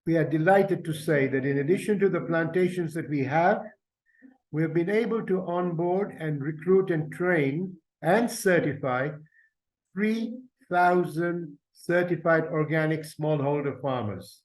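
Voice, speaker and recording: An older man from Uganda, an invited speaker giving an earnest, measured webinar presentation about his family's farming business. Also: fairly high pitch, rough recording. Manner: earnest, grateful, measured storytelling. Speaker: invited speaker, company representative.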